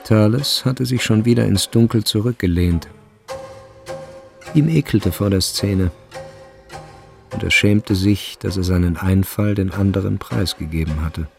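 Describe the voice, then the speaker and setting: A man's voice: on the low side, unhurried, creaky, pauses often, faint music behind. A man narrating a literary radio drama in a sober, scripted manner.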